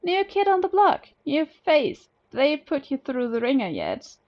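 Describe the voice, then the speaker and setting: A woman's voice: sits high, wildly swooping pitch, a little slow, dull audio, pitched above the performer's own voice, full character voice. A woman voicing a friendly, chatty male bruiser of a prison inmate in a story, breezy and familiar. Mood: curious, upbeat.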